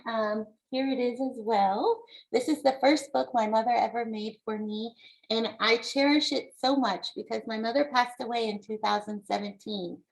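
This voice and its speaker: A woman from the United States, an author reading her book aloud and explaining it to young listeners in a deliberate, encouraging, instructive way. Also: a little high, fairly even intonation, gravelly, low-quality recording.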